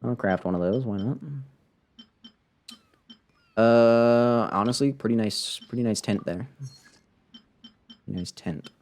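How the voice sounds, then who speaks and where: A man's voice: mid-range voice, slow pace, long pauses, a little mellow. A man giving casual live commentary as a game streamer, rambling and muttering to himself with playful sarcasm.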